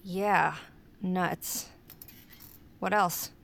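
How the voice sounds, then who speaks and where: An actress playing a friendly, teasing, curious young woman in an audio drama, casual and creaky-voiced. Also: on the low side, steady tone, drawn-out words, a bit bright, exaggerated voice. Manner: playful, casual. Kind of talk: naturalistic audio fiction acting.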